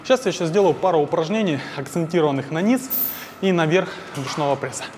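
A man's voice: a little high, fast, very noisy. Man explaining workouts in an echoey gym. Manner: explanatory, with frequent "э" fillers. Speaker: fitness trainer. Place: large indoor gym. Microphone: lapel mic. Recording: echoey gym with music behind.

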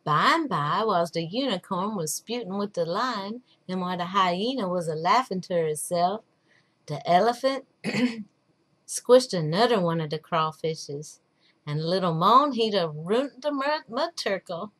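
A woman's voice: mid-range voice, lively intonation, a little slow, a bit bright. A woman voicing a patient, wry old man telling a folktale in drawling, folksy dialect. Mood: wry storytelling.

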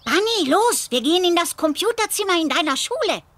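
A woman's voice: extremely high pitch, big pitch swings, fairly quick, a bit bright, no room sound, full character voice. A woman voicing a cautious, bossy female cat companion in an anime audio drama. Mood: brisk, knowing.

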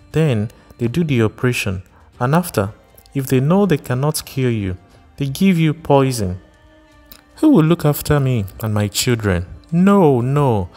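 A man doing a shrill, wailing pregnant wife. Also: a little mellow, close to an everyday voice. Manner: wailing, scolding, rising to cries.

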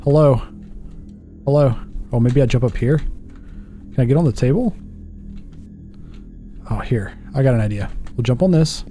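A man's voice: mid-range voice, a little slow, long pauses, dark tone, faint music behind. A man in his thirties giving casual let's play commentary, thinking aloud and asking himself questions as he goes. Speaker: gaming YouTuber. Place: indoor home setup.

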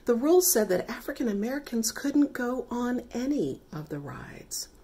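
A woman voicing a calm, serious father patiently explaining things to his child in a storybook. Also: fairly high pitch, normal speed, slightly rough, pitched above the performer's own voice, heavily acted.

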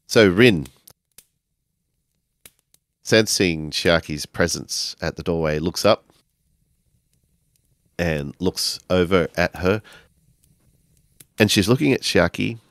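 Deep-voiced man, dry close sound, halting and deliberate storytelling at a game table. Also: dry recording. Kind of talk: improvised narration of a tabletop game.

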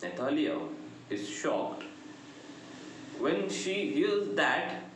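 A middle-aged man with an Indian accent giving a literature lecture, deliberate and emphatic, phrase by phrase. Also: high voice. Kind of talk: lecture summarizing a play. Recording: very echoey room, faint background noise.

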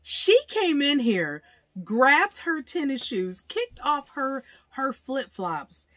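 A woman in her forties joking around in casual banter, teasing with quick comebacks, filmed by a camera at arm's length inside a parked RV. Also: sits high, big pitch swings, fairly bright tone, no room sound. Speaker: vlogger.

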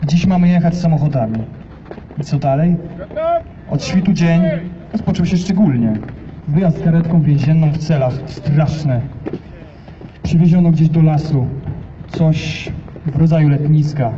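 A man reading diary entries aloud at an outdoor memorial march, in a terse, grave, measured manner. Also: fairly high pitch. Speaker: reader of diary excerpts. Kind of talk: solemn reading over loudspeakers. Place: outdoor street march in a city. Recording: distant PA in open air, reverberant, dull, noisy.

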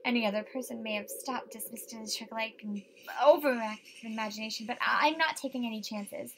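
A woman's voice: a little high, a little fast, a bit bright, slight background noise, poor audio. A woman voicing a frightened, superstitious young estate agent in a horror game, with a dramatic, tremulous delivery. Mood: panicked, agitated. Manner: dramatic, tremulous, breathy asides, outbursts. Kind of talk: horror visual novel voice acting.

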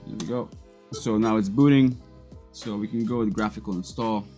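A relaxed man with a Polish background explaining steps in a workshop. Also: fairly low pitch, normal speed, soft background music. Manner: relaxed, rambling, with frequent fillers and restarts. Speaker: data recovery technician. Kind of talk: casual screen-recorded tutorial. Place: cluttered workshop or lab, indoors. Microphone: clip-on lapel mic.

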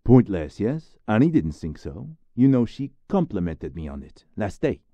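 A man voicing excited young boys on a summer outing, with an eager, raised boyish voice. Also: mid-range voice, moderate pace, dry recording, highs cut off, pitched above the performer's own voice, close to an everyday voice.

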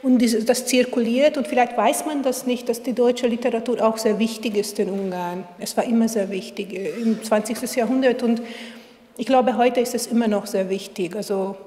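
A woman's voice: a little high, fairly even intonation, moderate pace, dark tone. Hungarian-accented woman in an echoey hall. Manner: hesitant, searching for words. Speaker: author. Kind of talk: literary reading and festival interview. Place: gallery room at a literature festival. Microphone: table mic on a stand.

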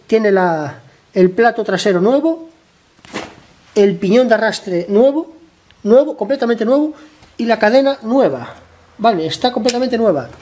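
A man with a high-pitched, slightly hoarse voice, worn by a cold, chats through a motorcycle repair in his garage. He talks to the camera as he works, explains each step in a loose, conversational way, and keeps checking that viewers are following. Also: darkish tone. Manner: chatty, explains step by step, keeps asking ¿vale?. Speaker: motorcycle mechanic vlogger. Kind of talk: casual workshop tutorial.

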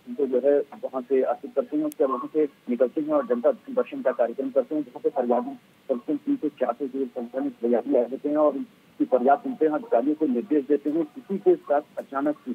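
A middle-aged man reporting live as a field news reporter, halting and wordy, with a husky voice. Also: medium pitch. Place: outdoors outside a government office. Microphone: handheld microphone. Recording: muffled, narrow-band live outdoor link.

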